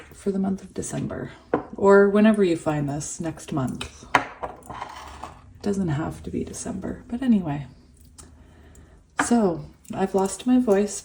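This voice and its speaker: A woman's voice: lowish voice, noisy background. A woman with a cold who has nearly lost her voice, casually chatting through a tarot reading and laughing between thoughts. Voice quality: hoarse. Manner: chatty, laughs often, trails off with fillers. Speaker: tarot reader.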